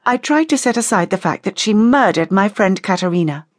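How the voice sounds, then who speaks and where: Woman voicing a bitter, accusing female vampire, pitched up. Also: lively intonation, a little fast, no room sound, clean studio sound, close to an everyday voice. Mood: aggrieved. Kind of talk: audiobook character dialogue.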